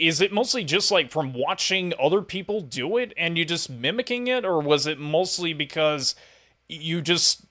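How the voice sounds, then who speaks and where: A man with a bright, crisp-edged voice at an average pitch hosts a casual interview. His questions wander loosely as he chats. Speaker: podcast interviewer.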